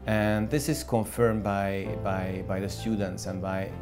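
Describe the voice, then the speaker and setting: A man's voice: on the low side, level tone, measured pace. A middle-aged man, a language instructor, speaking to camera in an office, thoughtful and repeating words as he searches. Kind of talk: interview to camera. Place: office with bookshelves. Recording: music clearly behind.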